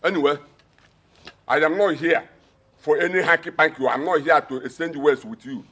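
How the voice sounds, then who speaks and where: High, husky, domineering Nigerian man. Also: normal speed, sharp tone. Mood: scornful, commanding. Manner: commanding, taunting. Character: scornful, domineering man ordering a woman home. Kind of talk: melodramatic film acting.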